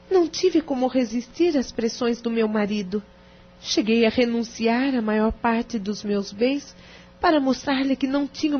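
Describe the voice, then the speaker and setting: A Brazilian woman playing a distressed, sincere wife in an audio drama, speaking formally and earnestly. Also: a little high. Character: distressed, sincere wife pleading her case to a lawyer. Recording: dull, muffled radio drama recording.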